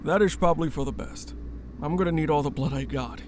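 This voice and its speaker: A man's voice: on the high side, brisk, darkish tone, slight background noise, lightly acted. A man acting a guarded, stubborn rebel in a sci-fi radio drama, earnest and formal in his speech. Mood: grave, subdued.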